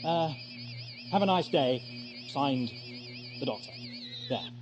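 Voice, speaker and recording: An actor playing an eccentric, theatrical time traveller in an audio drama, brisk and self-mocking. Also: male voice, medium pitch, expressive, music in the background. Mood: urgent, declaiming. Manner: theatrical, expounding, exclamatory. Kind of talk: 1980s amateur audio drama acting.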